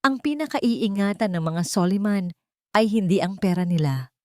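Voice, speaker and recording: A woman with a Filipino accent voicing a vindictive, hot-tempered young mistress in an audio story, sharp and menacing. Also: mid-range voice, lively intonation, normal speed, no echo, studio quality, natural voice. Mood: cold, menacing.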